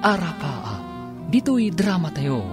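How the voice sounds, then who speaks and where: Animated male radio drama announcer over music. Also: on the high side, slow.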